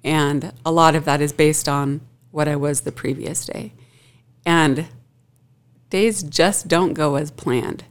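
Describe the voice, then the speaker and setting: A woman talking in a relaxed, reflective way in a casual group chat, often breaking into laughter. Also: low-pitched, slow pace, smooth.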